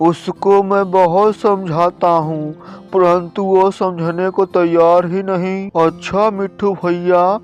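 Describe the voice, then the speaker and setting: A man's voice: sits high, level tone, slow, darkish tone. A man voicing a weary, henpecked crow husband in a children's cartoon, plaintive and reproachful. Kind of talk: children's moral-story cartoon acting. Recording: dull, lo-fi cartoon track with music.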